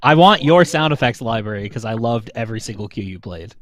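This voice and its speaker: A man's voice: medium pitch, animated, brisk, crowd noise. A man around his thirties chatting casually with friends. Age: 30s. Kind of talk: casual chat.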